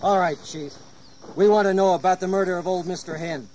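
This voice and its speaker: Tough militia captain man acting, radio drama, bit high, bossy and threatening, noisy outdoor scene. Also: steady tone, brisk, darkish tone, lightly acted. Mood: menacing.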